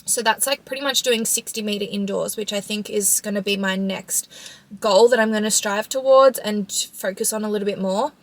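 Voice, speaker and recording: A woman of about twenty-five speaks with an Australian accent at a medium pitch. She talks casually, as in a vlog answering questions from followers. Her phrasing is loose and informal, dotted with "like" and sentences that trail off. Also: normal speed. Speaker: vlogger and sprinter answering audience questions.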